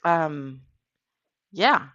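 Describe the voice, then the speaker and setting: A woman's voice: deep voice, lively intonation, very slow, a bit bright, lo-fi sound. Danish woman, native Danish speaker, crochet livestream host, chatty, rambling, lots of ums. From Denmark. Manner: chatty, rambling, many fillers. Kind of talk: casual chat on a morning livestream.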